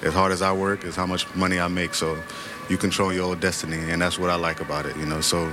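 Male guest from Florida, very low voice, plainspoken self-assured pitchman, clear music underneath. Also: flat delivery, quick pace. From Florida, United States. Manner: confident, boastful, plainspoken. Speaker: guest, sea moss vendor. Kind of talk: casual sales pitch and chat. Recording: music playing behind the voice.

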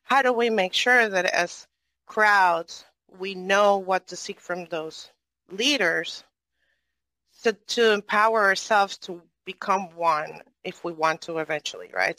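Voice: medium pitch, sharp tone, no room sound, rough recording. A moderator from Venezuela in a casual online audio chat, asking a long, rambling question and often tagging on "right?".

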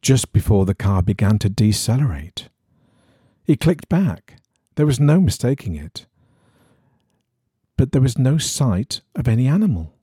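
Male narrator for eerie fiction: low-lit suspense, raspy edge, very lively pitch, close dry sound. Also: unhurried, pauses often, no echo. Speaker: story reader. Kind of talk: scripted narration of an eerie horror story.

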